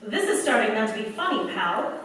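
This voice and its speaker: A woman's voice: on the high side, big pitch swings, a little fast, a bit bright. A woman acting a tired, tough factory girl in a 1940s-style radio play, wry and slangy, with an American accent. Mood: wry defiance. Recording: very echoey, distant mic, faint noise.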